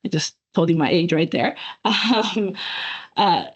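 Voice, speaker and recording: A woman's voice: on the low side, animated, unhurried, husky. A woman in her forties from Japan, giving a webinar lecture as a guest professor in a chatty way, with many fillers and self-corrections. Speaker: guest speaker, associate professor. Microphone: computer mic on video call. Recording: dull lo-fi call audio.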